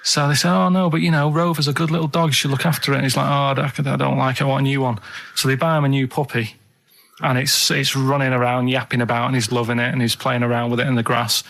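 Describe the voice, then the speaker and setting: A man's voice: mid-range voice, level tone, fast talker, creaky, fairly bright tone. Rambling man chatting. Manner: rambling, simple plain-spoken storytelling. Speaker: radio show co-host. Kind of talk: casual chat, anecdotes.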